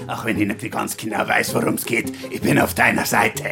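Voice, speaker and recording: A woman's voice: a bass voice, fairly even intonation, moderate pace, vocal fry, over music, exaggerated voice. A woman playing a flamboyant older disco queen in a radio play, theatrical and drawling. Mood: confiding, proud.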